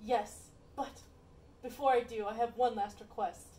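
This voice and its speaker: A commanding sock puppet lord, played by a young woman, speaks in a high, theatrical voice. The sound is roomy and distant, like a homemade stage play. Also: fairly even intonation, unhurried, mellow, a little hiss or hum, lightly acted. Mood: solemn. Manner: theatrical, declamatory. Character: commanding, forgiving sorcerer and grieving king, sock puppets. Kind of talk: amateur puppet-show acting. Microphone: phone camera at a distance.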